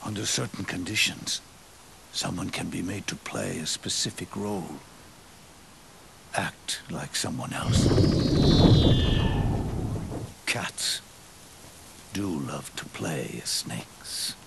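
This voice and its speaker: An actor playing an aging, world-weary soldier in a video game cutscene, speaking gravely and deliberately with dramatic pauses. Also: male voice, very noisy, full character voice. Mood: grave, reflective. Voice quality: deep, gravelly. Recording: loud music under the voice. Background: loud music.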